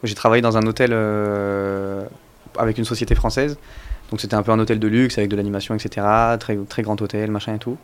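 A man's voice: lowish voice, little pitch movement, brisk, smooth. A young man being interviewed about his music, talking casually in slang with many fillers and restarts. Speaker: musician guest.

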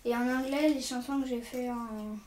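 A woman's voice: a little high, steady tone, moderate pace, a little mellow. A teenage girl answering interview questions hesitantly. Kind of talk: casual spoken testimony. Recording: echoey room, faint noise.

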